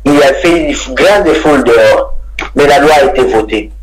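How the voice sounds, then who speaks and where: A man's voice: mid-range voice, normal speed, poor audio. A man from DR Congo with a Congolese French accent, an expert guest arguing his opinions in an interview, insistent and repeating phrases for emphasis. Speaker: electoral reform expert being interviewed.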